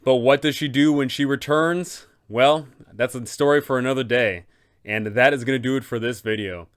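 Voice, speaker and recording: A man's voice: mid-range voice, moderate pace, clear, resonant tone. A man hosting an explanatory commentary as a game master, with emphatic, dramatic storyteller phrasing. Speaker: host, tabletop game master giving advice. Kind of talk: explanatory video commentary on role-playing villains.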